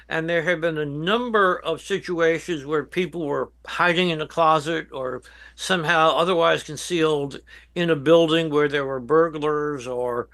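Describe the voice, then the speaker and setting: Older American man, bright forceful voice. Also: fairly high pitch, creaky. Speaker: guest expert, veteran emergency dispatch professional. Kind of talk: interview answers, explaining and advising.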